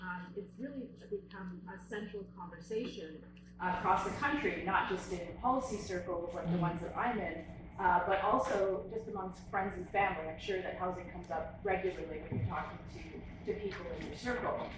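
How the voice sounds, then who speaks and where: A lower-voiced woman delivers earnest opening remarks at a panel, stumbling now and then over "uh" restarts. She sounds set back from the mic in a very echoey lecture room, with noise underneath. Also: moderate pace. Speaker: institute president and CEO. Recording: distant, very echoey hall with noise.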